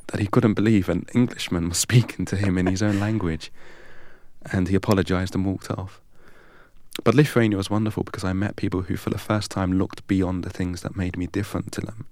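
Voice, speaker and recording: A man from England, a guest in an interview, telling his story in a measured, deliberate way. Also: low voice, fairly even intonation, darkish tone, dry recording. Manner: measured and deliberate, recites long strings of numbers evenly.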